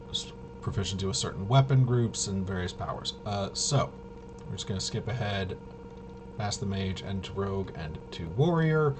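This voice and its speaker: Male tabletop gaming host, lowish pitch, halting off-the-cuff explainer delivery, noticeably noisy room tone. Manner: halting, rambling, thinking aloud. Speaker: host of a tabletop RPG channel. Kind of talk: casual unscripted rules walkthrough.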